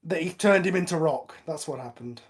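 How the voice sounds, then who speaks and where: Higher-pitched man in casual commentary. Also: fairly quick, slightly rough. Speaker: podcast commentator.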